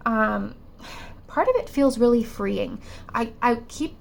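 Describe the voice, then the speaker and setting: A woman's voice: on the high side, expressive, takes it slowly, vocal fry, slight background noise. A woman giving a pick-a-card tarot reading, her voice still affected by a cold, musing hesitantly with many fillers and restarts. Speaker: tarot reader.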